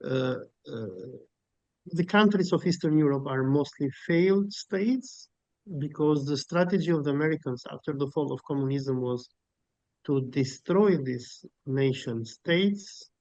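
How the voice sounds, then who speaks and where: A man's voice: mid-range voice, measured pace, darkish tone, dry recording, dull audio. A man from Albania giving an emphatic online lecture as guest speaker, with frequent filler sounds. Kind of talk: academic webinar lecture and Q&A.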